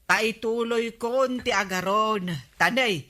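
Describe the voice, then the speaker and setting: An overworked, grumbling village captain's wife in an Ilocano radio comedy drama. She speaks slowly with a bright, tense edge and an Ilocano accent, dragging out her words in exasperation. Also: on the high side. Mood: gossipy.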